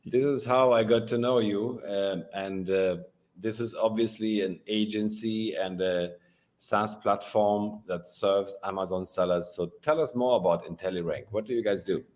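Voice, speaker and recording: Deep-voiced male podcast host. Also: fairly even intonation, moderate pace, a little mellow. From Ukraine. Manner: friendly, repeats words and restarts. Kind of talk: casual interview.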